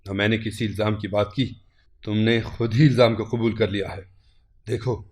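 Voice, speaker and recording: A man voicing a stern, disappointed older uncle in an audio story, grave, reproachful and measured. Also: lowish voice, fairly even intonation, darkish tone, voice pushed down, close to an everyday voice.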